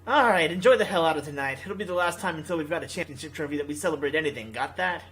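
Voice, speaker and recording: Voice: medium pitch, animated, very fast, fairly bright tone, close to an everyday voice. A video game reader voicing a bold, driven woman who leads a team with teasing authority. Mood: commanding.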